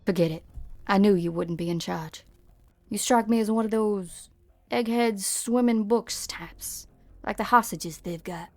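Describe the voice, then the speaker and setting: A woman playing a brusque, sharp-tongued frontier woman in an amateur game fan dub, blunt, slangy and scornful. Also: mid-range voice, a little slow, no echo, soft background music, lightly acted. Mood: dismissive, cynical.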